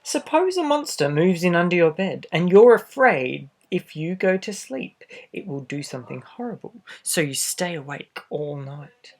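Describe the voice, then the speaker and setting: A man reads a picture book aloud to young children in a gentle, expressive way, adding little asides straight to the listener. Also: high-pitched, normal speed, hardly any pauses. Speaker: storybook reader for children.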